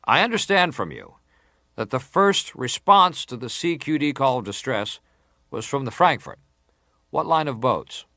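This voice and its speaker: An actor playing a formal, persistent senator who questions a witness deliberately in a dramatised hearing. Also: male voice, mid-range voice, very animated, bright, forceful tone, no room sound, studio quality, exaggerated voice. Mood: probing. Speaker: interrogator at a hearing. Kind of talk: dramatised reading of hearing testimony.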